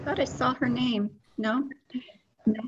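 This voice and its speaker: A woman reads out names for roll call at a slow, careful pace. Her audio sounds dull and muffled, with a soft hiss behind it. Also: a little high, fairly even intonation. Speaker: council member taking roll. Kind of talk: calling roll.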